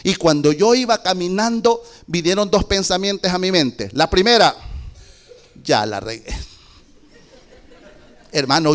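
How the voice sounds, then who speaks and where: Slow, emphatic Salvadoran preacher man. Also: high voice. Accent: Salvadoran Spanish. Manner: slow, emphatic, with sudden shouted peaks. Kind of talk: testimony sermon. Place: church.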